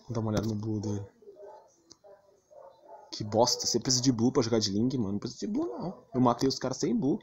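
A man's voice: medium pitch, big pitch swings, fast, pauses often. A man with a Brazilian accent giving casual, slangy, grumbling commentary on his own gameplay, with some swearing. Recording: dull lo-fi mic with clear background noise.

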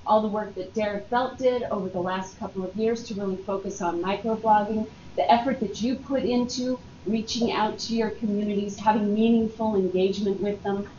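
A woman's voice: mid-range voice, fairly even intonation, a little fast, few pauses, noisy background. A woman hosting a workplace communications workshop, chatty and upbeat, recorded from across a meeting room. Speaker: workshop host. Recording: far-off roomy lo-fi meeting recording.

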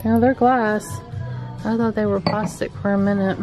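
A woman's voice: medium pitch, fairly even intonation, moderate pace, a little mellow, soft background music. A middle-aged woman, filming a chatty shopping vlog in a home decor store and gushing over cute items on a handheld camera. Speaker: vlogger. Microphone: handheld camera mic.

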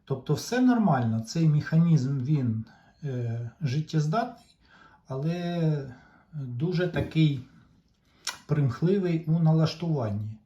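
Mellow man, halting, in dull lo-fi sound. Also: on the high side, lively intonation. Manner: halting, explanatory, pausing mid-sentence. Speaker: repair hobbyist presenting a demonstration. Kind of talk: informal unscripted repair walkthrough.

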